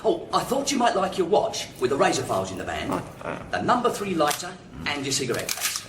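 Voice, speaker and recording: A man's voice: on the high side, wildly swooping pitch, fairly quick, roomy sound, distant mic, background noise. A man playing a loyal, deferential manservant and driver with a polite, matter-of-fact, colloquial way of talking, in a radio play. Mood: helpful.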